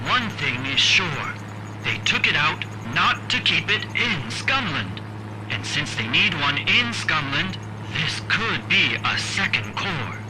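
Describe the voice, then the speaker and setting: An actor playing an excitable, anxious scientist doctor in a video game cinematic. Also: male voice, on the high side, takes it slowly, over music, poor audio. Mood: worried, thoughtful. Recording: clear background noise.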